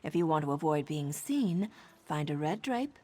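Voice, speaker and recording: A woman's voice: deep voice, sing-song, moderate pace, darkish tone. A woman playing a confident female assassin informant in a video game, with a sardonic, conspiratorial manner. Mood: conspiratorial, instructive. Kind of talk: video game character dialogue.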